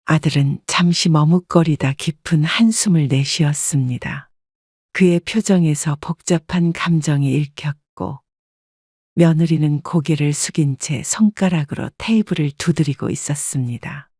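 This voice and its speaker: Older woman narrator, calm measured first-person storytelling, dry close sound. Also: low-pitched, breathy edge, no room sound.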